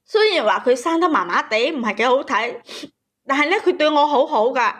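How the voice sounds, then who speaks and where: A woman's voice: high-pitched, expressive, fairly quick, fairly bright tone. A woman calling in to tell a personal story, repeating phrases for emphasis. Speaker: caller telling her story. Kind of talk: emotional personal storytelling to a host.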